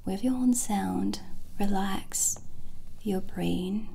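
A woman whispering gently and soothingly in a breathy ASMR roleplay style, close to the microphone. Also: mid-range voice, very slow, slight background noise. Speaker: ASMR host playing a witch sound healer. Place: small candlelit indoor studio set.

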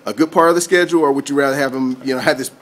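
A man's voice: medium pitch, fairly even intonation, rapid-fire. A man, a sports reporter, asking postgame questions off camera in a rambling way, filled with "you know" and "I mean".

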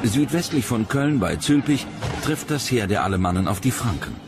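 A man's voice: mid-range voice, very animated, moderate pace. A man reading scripted narration for a history documentary. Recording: music bed behind narration.

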